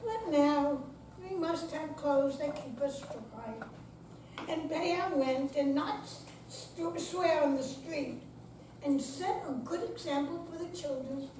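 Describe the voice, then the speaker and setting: Slow, high-voiced older woman reciting, echoey hall. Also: darkish tone. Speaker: amateur staged reader. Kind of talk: poetry recital before a live audience. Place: senior center room, recorded from afar. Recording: distant, echoey hall with clear background noise.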